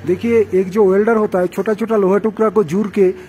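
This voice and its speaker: A man's voice: high-pitched, little pitch movement, a little fast, warm tone, soft background music. A man interviewing workers off camera, asking long, polite questions about safety.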